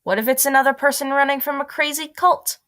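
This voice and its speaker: A woman playing a cautious, businesslike spaceship officer with a crisp, dry manner. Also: sits high, fast talker, fairly bright tone. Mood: wary.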